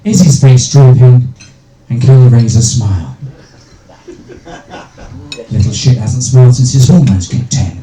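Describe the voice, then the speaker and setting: A middle-aged male stand-up comedian with a deep voice hosting a live comedy night, bantering with hecklers and swearing freely. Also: a little slow, pauses often. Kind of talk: stand-up comedy with crowd work. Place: small live venue with a crowd. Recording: echoey room with loud crowd.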